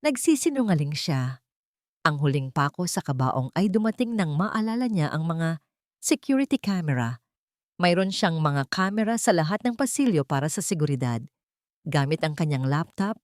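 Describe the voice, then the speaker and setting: A woman's voice: on the low side, darkish tone, dry recording, studio quality. A woman reading a scripted romantic drama story as its narrator.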